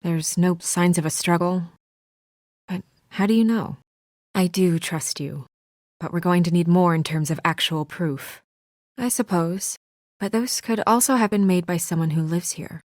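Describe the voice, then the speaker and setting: A woman voice actor playing an earnest, composed young woman official in a video game, speaking in a measured, serious, restrained way. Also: on the low side, a little mellow, no echo, clean studio sound, close to an everyday voice. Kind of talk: naturalistic video game dialogue.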